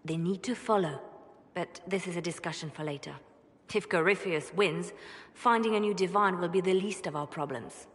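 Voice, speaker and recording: An actress playing a composed, devout, pragmatic spymaster in a video game, speaking in a measured, earnest way. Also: female voice, fairly low pitch, natural voice. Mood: grave, insistent. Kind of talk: video game cutscene dialogue. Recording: long reverb, faint background noise.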